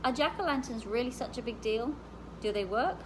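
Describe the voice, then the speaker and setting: A woman's voice: a little high, fairly quick, background noise, voice pushed up, close to an everyday voice. A woman reading the part of a sensible, uneasy young girl who asks questions in a storybook. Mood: curious, uneasy.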